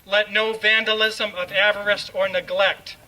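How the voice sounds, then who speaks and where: A man's voice: high-pitched, level tone, moderate pace, sharp tone. A man reading a formal proclamation aloud in a solemn, oratorical manner. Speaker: ceremonial reader. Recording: distant mic, faint background noise.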